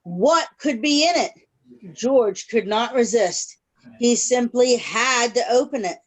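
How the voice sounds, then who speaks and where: A woman reading a picture book aloud to young children on a video call, pausing to check in with them using simple questions. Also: on the high side, animated, low-quality recording. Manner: pauses to check in with young listeners.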